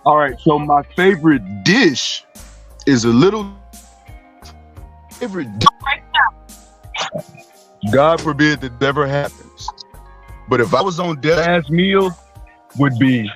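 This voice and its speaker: Slow-talking joking man on a call. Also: on the high side, lively intonation, a bit bright, faint music behind. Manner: joking, laughs a lot, halting. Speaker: bachelor contestant. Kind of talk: casual video call chat. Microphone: video call.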